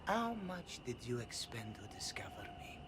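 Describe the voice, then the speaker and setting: Brief: an arrogant captured noble, defiant and haughty. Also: male voice, mid-range voice, wildly swooping pitch, moderate pace, fairly bright tone, a little hiss or hum. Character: arrogant captured noble agent, self-important.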